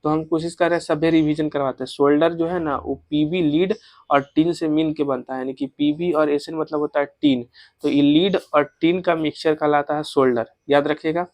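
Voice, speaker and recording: Higher-voiced Bihari man teaching, dry close sound. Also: fairly even intonation, normal speed, darkish tone, dry recording. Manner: repetitive, drilling facts with self-posed questions; mixes in English technical terms. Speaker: exam coaching teacher. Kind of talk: informal exam revision lesson.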